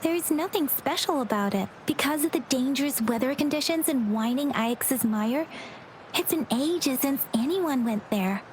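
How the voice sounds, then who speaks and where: A woman voicing an earnest, caring young scout in a video game, speaking in a polite, measured way. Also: sits high, animated, darkish tone, a little hiss or hum, rough recording. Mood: serious. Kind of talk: video game character dialogue.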